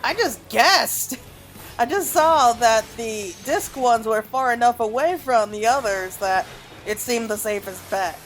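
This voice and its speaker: A woman's voice: on the high side, a little slow, soft background music. A woman giving casual, chatty commentary as she plays a game, reacting aloud as she goes. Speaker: gamer recording a playthrough.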